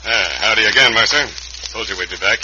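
An actor playing a menacing, sneering bandit with rough, bullying talk in an old-time radio western. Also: male voice, lowish voice, fast talker, lightly acted. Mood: mocking. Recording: dull, faintly noisy vintage radio recording.

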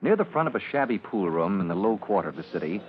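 A man's voice: fairly low pitch, big pitch swings, fast. A man narrating an old-time radio serial as its announcer, in a formal, dramatic scripted delivery. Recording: muffled, noisy old radio recording.

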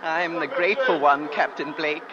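A woman's voice: sits low, normal speed, close to an everyday voice. A woman playing a gracious, anxious Victorian lady in old-time radio drama style. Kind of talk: 1940s-50s radio drama acting. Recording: muffled old radio recording, crowd behind.

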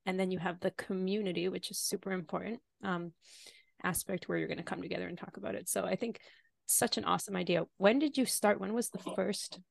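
A woman's voice: fairly low pitch, fairly even intonation, brisk, gravelly, no echo. A woman hosting a podcast interview in a casual, conversational way, rephrasing and elaborating as she goes.

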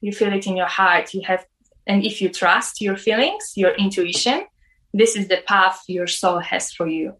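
Halting woman sharing an experience. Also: mid-range voice, fairly bright tone, low-quality recording. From Poland. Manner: halting, many "like" fillers. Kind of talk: personal testimony.

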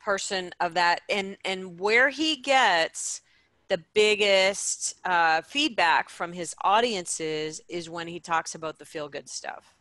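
A woman's voice: on the low side, takes it slowly, sharp tone, no echo. A middle-aged woman answering interview questions, explaining her business plainly. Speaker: guest, speaker coach.

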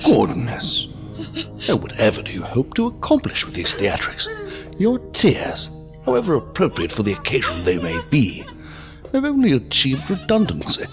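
A sinister, unhurried gentleman interrogator who is not quite human. His delivery is mannered and mockingly polite, with hugely swinging intonation at a middling pitch. It is sci-fi audio drama villainy, with music underneath. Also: wildly swooping pitch. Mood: mocking, menacing. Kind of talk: science fiction audio drama acting.

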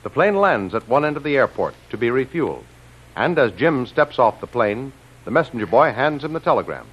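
A man's voice: medium pitch, big pitch swings, a little fast, breathy edge, lo-fi sound. A man announcing and narrating in a formal, declamatory 1930s radio style, reading from a script. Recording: old radio recording with music behind.

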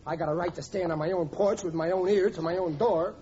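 An actor playing a stubborn, indignant middle-aged American husband and father, with rough working-class grammar, in a 1940s radio comedy. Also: sits high, fairly even intonation, fast, crowd noise, close to an everyday voice. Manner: ungrammatical, indignant, blustery. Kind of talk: 1940s radio sitcom acting. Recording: muffled, noisy old radio recording.

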